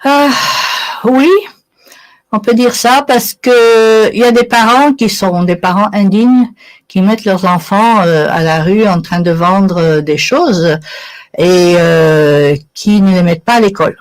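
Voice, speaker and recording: A woman of Italian origin speaks as an interview guest at a middling pitch. She talks conversationally, explaining things through examples and small anecdotes. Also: unhurried. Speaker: interview guest, charity sponsorship volunteer.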